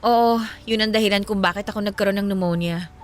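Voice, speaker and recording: A woman's voice: medium pitch, steady tone, fast, faint music behind, close to an everyday voice. A woman playing a guarded, earnest ex-girlfriend in a radio drama, firm and matter-of-fact, with a Filipino accent.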